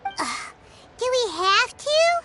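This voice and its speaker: An actress voicing a tiny, childlike, whiny sidekick creature in a video game, with a squeaky voice. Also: female voice, very animated, takes it slowly, exaggerated voice. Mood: whiny, suspicious. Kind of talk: scripted video game voice acting. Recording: loud game music behind.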